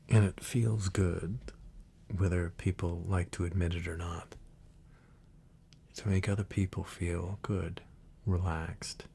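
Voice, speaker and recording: A man speaking softly in an ASMR style, breathy and gentle, at times close to a whisper. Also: sits low, a little hiss or hum. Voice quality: soft, breathy, husky, sometimes near a whisper. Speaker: ASMR video creator and reader. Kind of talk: soft-spoken ASMR talk and poem reading.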